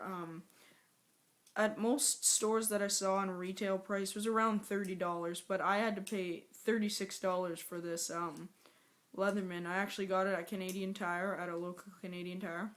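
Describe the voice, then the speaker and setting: A woman with a hoarse, scratchy voice from a sore throat, chatting casually through a rambling product unboxing review. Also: mid-range voice, a bit bright. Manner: rambling, chatty, self-aware.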